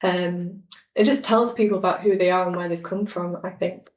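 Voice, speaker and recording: A woman's voice: on the low side, steady tone, moderate pace, reverberant. A woman from Yorkshire with a Yorkshire accent, an enthusiastic librarian speaking on a podcast. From Yorkshire, England. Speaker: local studies librarian. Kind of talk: podcast chat.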